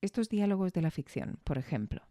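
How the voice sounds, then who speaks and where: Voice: medium pitch, sing-song, fairly quick, warm tone, no room sound. A podcast host giving thoughtful, explanatory commentary on an audio drama. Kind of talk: reflective commentary.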